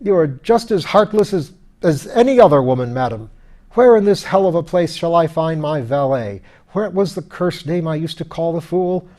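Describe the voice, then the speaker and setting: Man as skeleton nobleman, audiobook character, rough, peevish, aristocratic bluster, old-fashioned exclamations, raised pitch. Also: expressive, normal speed, mellow, natural voice. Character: pompous, irritable, hard-drinking skeleton lord.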